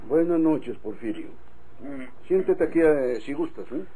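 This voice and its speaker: A calm, courteous Mexican official in an old radio serial. His voice is dark and husky, pitched a little high. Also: measured pace, rough recording. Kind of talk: old-time radio drama acting.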